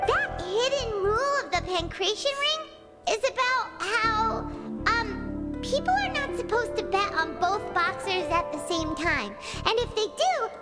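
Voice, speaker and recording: A tiny, eager fairy companion, with an extremely high little-kid voice that swings all over as she explains things earnestly. Loud game music plays behind her. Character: small childlike floating fairy companion, eager and chatty. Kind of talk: video game voice acting.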